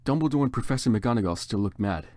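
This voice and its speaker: A man's voice: medium pitch, animated, quick pace, warm tone, no echo, clean studio sound. A man giving a theatrical, mock-serious dramatic reading of fan fiction as the narrator. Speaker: reader.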